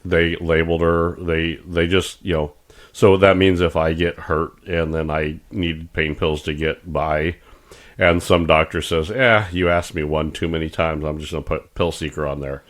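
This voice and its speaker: A man's voice: a bass voice, normal speed. A middle-aged man co-hosting a podcast in casual chat, rambling with many restarts and acting out doctors as he retells them. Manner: rambling, repeats words and restarts, animated when retelling doctors. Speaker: podcast co-host.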